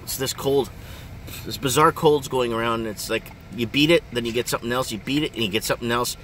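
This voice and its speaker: Bright-voiced man chatting over car noise. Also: medium pitch, fast talker. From Ontario, Canada. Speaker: vlogger. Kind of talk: casual chat to viewers, rambling. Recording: engine and road noise in car.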